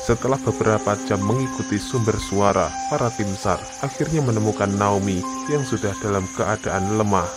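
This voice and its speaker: A man with a low voice narrates a scripted horror story. Clear background music plays under his voice. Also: moderate pace.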